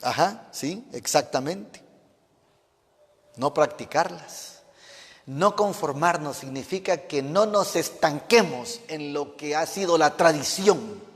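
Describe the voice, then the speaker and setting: A pastor with a notably high voice for a man, preaching with fervour and firing questions at his flock. His words ring out with the long reverb of a church hall. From Mexico. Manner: fervent preaching with rhetorical questions to the congregation. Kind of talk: sermon.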